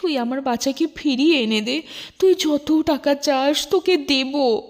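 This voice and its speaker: A woman's voice: sits high, measured pace, big-room sound. A woman voicing a distraught, suspicious mother and household mistress in a storybook, insistent and accusing. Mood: desperate pleading.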